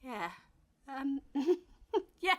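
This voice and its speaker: A woman playing an anxious, wistful young bride in a naturalistic, gently comic audio drama, speaking in a hesitant, halting way. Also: high voice, big pitch swings, exaggerated voice. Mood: shaken, sad. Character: hungover, anxious, wistful young bride on her wedding morning.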